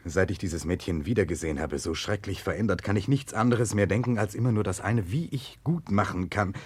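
A husky, low-voiced remorseful prince. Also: male voice, a little mellow. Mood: urgent, remorseful. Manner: grave, deliberate, insistent confession. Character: remorseful, earnest Russian prince resolved to atone. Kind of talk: 1960s German radio drama acting.

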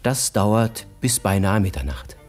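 An actor as narrator of a German radio drama, telling the story in a measured, intimate, expressive literary style. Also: male voice, fairly low pitch, faint music behind. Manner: measured, expressive, savouring details.

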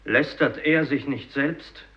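A sceptical fisherman in a vintage German radio play. His medium-pitched voice is bitter and probing. The recording sounds dull and old. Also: slow pace, breathy edge. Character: bitter, doubting male fisherman challenging faith. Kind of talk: 1950s German radio drama acting.

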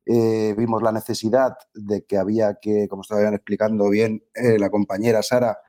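A man speaking as a radio interview guest. His voice sits fairly low and has a dark, mellow tone as he explains. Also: level tone, moderate pace. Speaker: interview guest, neighbourhood association member.

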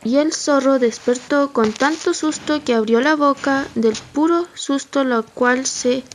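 A woman's voice: high-pitched, measured pace, a little hiss or hum. A young woman, narrating a scripted animal tale for an amateur radio play.

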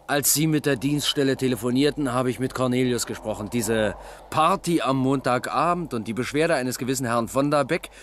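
Deliberate male police lieutenant played by a man, radio crime play; middle register, sceptical and methodical, slight noise. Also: medium pitch, a bit bright, natural voice. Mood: pondering. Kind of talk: German radio crime drama acting.

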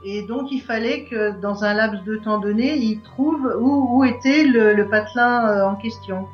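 A woman being interviewed in casual conversation, talking haltingly and searching for her words as an event organiser. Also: fairly high pitch, faint music behind. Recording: echoey, dull lo-fi room recording.

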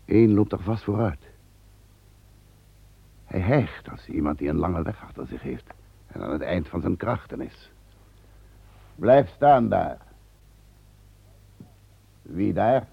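An actor playing a blunt Roman servant and guard in an old radio play. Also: male voice, low voice, expressive, takes it slowly, gravelly, pauses often, slightly rough, no room sound, highs cut off, heavily acted. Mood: alert. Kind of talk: radio drama acting.

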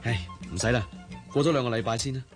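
A man's voice: mid-range voice, fast talker, faint music behind, lightly acted. A man playing a confident, easygoing young jockey and doting fiancé in a Cantonese radio drama, with a teasing manner. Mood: nonchalant. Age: young adult.

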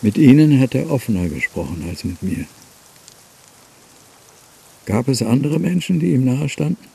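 Gravelly, dark-voiced sober man. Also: mid-range voice, animated, normal speed, exaggerated voice. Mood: sober, probing. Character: dead man's brother, sober and curt. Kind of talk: radio play dialogue.

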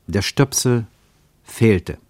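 A man narrating a literary radio drama in a deliberate storytelling manner. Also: lowish voice, animated, darkish tone, no echo.

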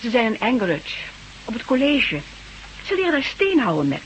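An actress playing a brisk, caring, devoted wife with fervent beliefs in a Dutch radio drama. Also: female voice, a little high, huge pitch swings. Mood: matter-of-fact. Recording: dull hissy old radio recording.

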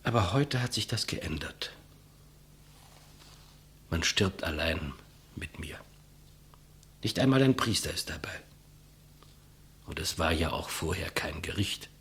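An actor playing a humble, despised executioner in a 1950s German radio play, deferential, plain-spoken and reflective. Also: male voice, low-pitched, expressive, takes it slowly, long pauses, a bit bright, a little hiss or hum, exaggerated voice. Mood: quiet, resigned. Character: humble, despised old-school male executioner, quietly matter-of-fact. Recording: muffled vintage 1959 radio recording.

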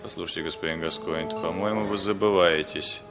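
A lowish male voice plays a principled, stern husband in an old radio drama. He is firm and restrained, with music loud behind the words. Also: steady tone, fairly quick. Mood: firm, uneasy. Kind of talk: 1960s Soviet radio play acting.

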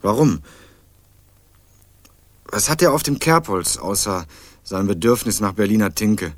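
A man's voice: on the low side, very animated, a little slow, dry recording. A young man narrating a radio crime drama in the first person, playing a dogged student turned amateur sleuth in a dry, ironic tone. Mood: wry. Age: young adult. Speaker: narrator and protagonist.

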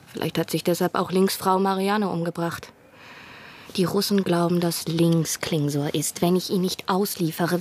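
An actress in a German radio drama playing a desperate young spy in love, speaking with earnest intensity and sometimes a hushed breath. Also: female voice, on the low side. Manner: earnest, intense, at times dropping to breathy whispers. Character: desperate female spy in love, pleading and persuading.

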